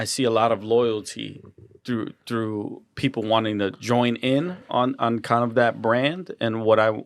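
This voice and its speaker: A man's voice: mid-range voice, creaky, no echo, studio quality. A young man, a guest artist, talking earnestly in a casual interview, often restarting and repeating words. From Colombian and Ecuadorian heritage.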